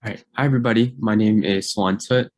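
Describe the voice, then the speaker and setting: A man's voice: lowish voice, fairly even intonation, fast talker, no room sound. A man in his twenties from Myanmar introducing himself fluently and in a friendly way on an online panel. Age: 20s. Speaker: incoming PhD student panelist.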